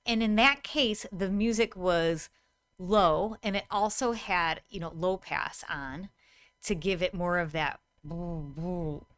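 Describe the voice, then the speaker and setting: A woman's voice: lowish voice, takes it slowly, fairly bright tone, smooth, no room sound. A woman in her thirties giving a tutorial to camera in a conversational, explanatory manner. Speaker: host, VR game designer.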